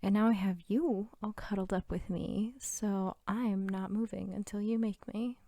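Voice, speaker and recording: A woman playing a sweet, bookish, playful archivist girlfriend in an intimate ASMR roleplay, soft-spoken and teasing. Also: mid-range voice, steady tone, a little slow, no echo, studio quality, lightly acted. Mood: cozy, affectionate. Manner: soft-spoken, often near whisper, giggly, teasing.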